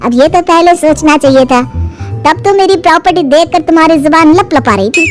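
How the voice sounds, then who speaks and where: A woman's voice: very high-pitched, brisk. A woman voicing a shrewd, protective, wealthy middle-aged father in a storybook tale. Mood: defiant. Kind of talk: animated storybook dialogue. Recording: music under the dialogue.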